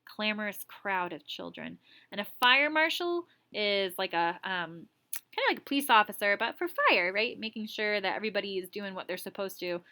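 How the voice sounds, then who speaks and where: A woman with a bright, forward voice reads a story aloud as a teacher would. Her narration is expressive at a middle pitch, and she slips into casual asides to explain things. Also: normal speed. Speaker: teacher reading aloud to students.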